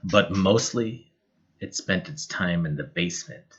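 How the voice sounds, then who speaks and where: A man reading a children's picture book aloud in an expressive, measured and dramatic storytelling style. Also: deep voice, lo-fi sound. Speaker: storybook reader.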